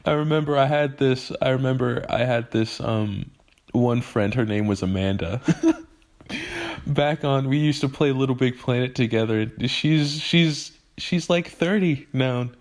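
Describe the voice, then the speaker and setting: A man of twenty-one talks to camera in a halting, emotional way, often restarting his sentences as he vents about growing up. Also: mid-range voice. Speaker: vlogger. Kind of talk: personal venting monologue.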